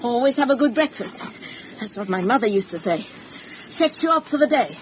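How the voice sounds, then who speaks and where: A woman's voice: a little high, animated, moderate pace, a little hiss or hum, natural voice, rough recording. A woman playing a chatty, evasive village housekeeper in a radio drama, homely and matter-of-fact. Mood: brisk small talk.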